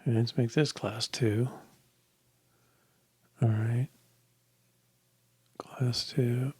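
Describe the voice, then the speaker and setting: A man's voice: medium pitch, steady tone, extremely slow, darkish tone, slightly rough. An older man codes live at his desk, murmuring to himself and sometimes sinking into a near whisper. His voice sounds slightly strained. The sound is close and dry, with no room echo. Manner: muttering half-whispered asides while coding. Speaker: live-coding streamer. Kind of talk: casual live coding commentary. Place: small home office, indoors.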